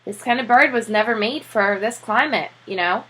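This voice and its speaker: A woman's voice: on the high side, moderate pace, fairly bright tone, husky, poor audio. Woman as a grave, frank animal doctor. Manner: instructing, frank. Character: grave, knowledgeable male veterinary doctor.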